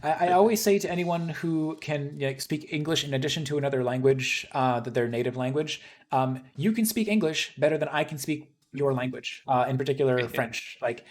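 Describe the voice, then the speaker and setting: Higher-pitched Canadian man hosting casually. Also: fairly quick, few pauses. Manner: chatty, reassuring. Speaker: host interviewing game developers. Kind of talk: casual livestream interview.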